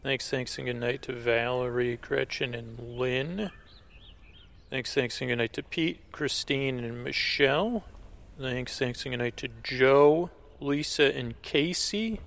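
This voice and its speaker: A man with a creaky voice hosting a podcast, speaking casually in a low-key, halting, meandering way to his listeners. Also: a bit bright. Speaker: podcast host. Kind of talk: casual, rambling thanks and sign-off to listeners.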